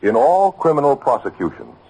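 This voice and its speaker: A man's voice: medium pitch, huge pitch swings, fairly quick, darkish tone, rough recording. An American man delivering an earnest, emphatic scripted radio announcement in 1950s style, addressing the listener directly with rhetorical questions. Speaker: announcer of a public service message. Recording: old radio broadcast, slightly husky sound.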